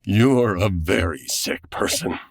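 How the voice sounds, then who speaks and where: A man's voice: low voice, takes it slowly, vocal fry, no echo, studio quality, heavily acted. A man playing an anxious, flustered 1940s man in an old-time radio comedy parody, hesitant and stammering. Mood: horrified, despairing.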